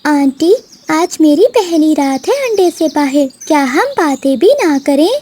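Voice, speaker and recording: A woman's voice: high-pitched, animated, unhurried, warm tone, a little hiss or hum. A woman voicing a sweet, chatty newly hatched bird child in a cartoon, childlike and pleading. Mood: plaintive.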